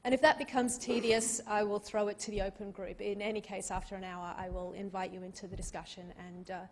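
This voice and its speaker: A woman's voice: medium pitch, little pitch movement, brisk, slight background noise. A woman from Australia moderating a tech panel in a dry, witty, articulate way. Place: auditorium.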